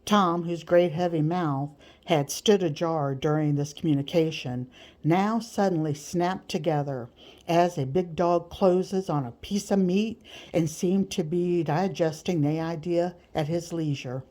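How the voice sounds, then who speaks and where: A woman's voice: fairly low pitch, unhurried, a little mellow. A woman reading a novel aloud as scripted audiobook narration. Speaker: audiobook reader.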